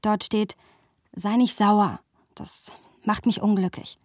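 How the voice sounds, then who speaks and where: A woman's voice: medium pitch, steady tone, slow pace, darkish tone, dry recording, clean studio sound. An actress playing a reflective young woman who narrates a radio play in literary style. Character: reflective young woman narrating her friendship in first person.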